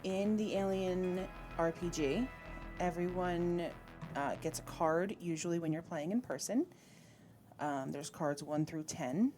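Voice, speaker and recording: A woman running a tabletop game, explaining rules and narrating scenes to players with frequent pauses. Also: lowish voice. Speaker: game master of an online tabletop roleplaying game. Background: music.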